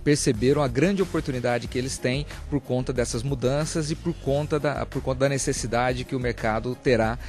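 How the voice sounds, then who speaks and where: Measured man on a handheld mic. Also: mid-range voice, a little mellow, soft background music. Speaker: finance manager. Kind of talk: event interview. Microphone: handheld interview mic.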